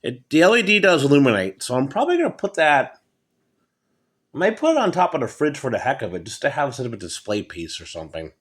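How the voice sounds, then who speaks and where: A middle-aged American man talking casually and off the cuff in a halting, matter-of-fact way, showing items at a table indoors on a handheld phone. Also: medium pitch, sing-song, slightly rough. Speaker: hobbyist presenter. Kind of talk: casual off-the-cuff product show-and-tell. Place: indoors at a wooden table in a home. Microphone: handheld phone, speaker behind camera.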